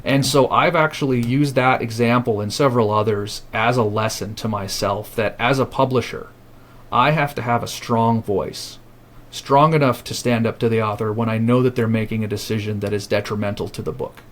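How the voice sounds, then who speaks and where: A man's voice: fairly low pitch, fairly even intonation, normal speed. A man who founded a publishing house, guest on a podcast, speaking earnestly and reflectively and often restarting his phrases. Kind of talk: podcast interview.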